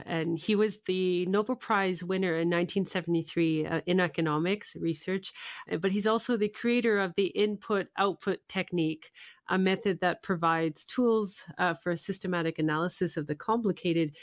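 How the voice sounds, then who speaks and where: A woman's voice: lowish voice, normal speed, dry recording, studio quality. A woman hosting a conversational radio interview.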